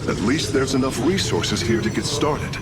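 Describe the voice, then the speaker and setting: An actor playing an earnest alien engineer who gives calm, measured reports. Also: male voice, mid-range voice, heavy background noise, close to an everyday voice.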